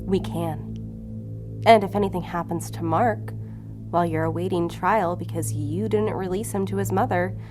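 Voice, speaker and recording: A woman's voice: lowish voice, moderate pace, close to an everyday voice. An actress playing a shrewd, controlled female investigator in an audio drama, firm and needling. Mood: hard, triumphant. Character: cool, shrewd female investigator, bluffing and relentless. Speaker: law enforcement agent. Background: music under the voice.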